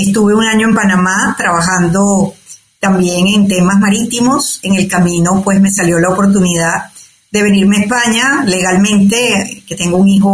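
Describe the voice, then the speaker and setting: A woman's voice: medium pitch, echoey room, slight background noise, low-quality recording. An older woman from Venezuela with a Venezuelan Spanish accent, a guest being interviewed, speaking thoughtfully with fillers and restarts. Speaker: guest, astrologer. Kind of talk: conversational interview.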